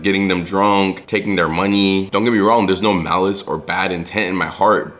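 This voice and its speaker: A man's voice: sits low, fairly bright tone. A man in his twenties talking casually and earnestly to his viewers, often calling them guys. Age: 20s. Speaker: vlogger. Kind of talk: casual direct-to-camera talk. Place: Los Angeles.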